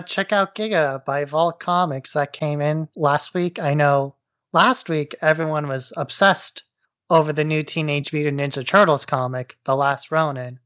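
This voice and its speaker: A man's voice: fairly high pitch, a bit bright. A man co-hosting a podcast, talking in a casual, offhand, opinionated way. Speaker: podcast co-host.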